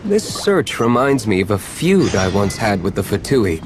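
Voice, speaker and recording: A man voicing a cool, aloof young wealthy gentleman in a video game, measured and dry. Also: lowish voice, sing-song, music in the background.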